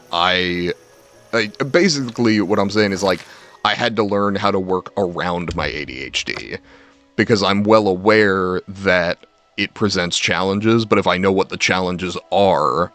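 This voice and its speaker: Young man, low-pitched voice, casual livestream chat, rambling self-deprecating storytelling, faint music behind. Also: fairly bright tone. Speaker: game livestreamer. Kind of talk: casual chat to stream viewers. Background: faint game music.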